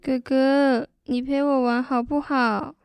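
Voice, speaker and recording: A woman's voice: high voice, little pitch movement, slow, clear, resonant tone, no room sound. A female performer playing a little girl, an eager and plain-spoken younger sister in a children's radio drama.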